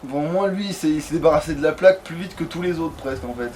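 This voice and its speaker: A man with a fairly high voice plays a game and comments casually as he goes. His talk is crude and full of swearing. There is slight background noise behind him. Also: fast talker, darkish tone. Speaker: let's player.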